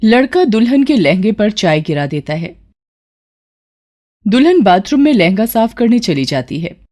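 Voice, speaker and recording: Woman, story narrator, scripted delivery, mid-range pitch, moral tale. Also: big pitch swings, unhurried, warm tone.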